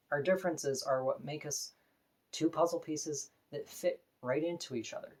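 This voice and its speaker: A woman with a deep voice, talking to camera in an earnest, opinionated, plain-spoken personal vlog. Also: darkish tone. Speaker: vlogger.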